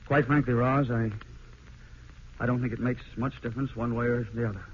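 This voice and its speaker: Actor as impatient young husband, mid-pitched male, blunt, dismissive, vintage radio drama, muffled with faint noise. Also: fairly even intonation, a little fast, close to an everyday voice. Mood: curt, indifferent.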